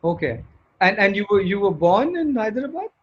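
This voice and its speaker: A man's voice: high voice, sing-song, fairly quick, slightly rough, muffled recording. A man hosting an interview show, enthusiastic and speaking in short punchy phrases. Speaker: host. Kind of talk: upbeat show intro and interview.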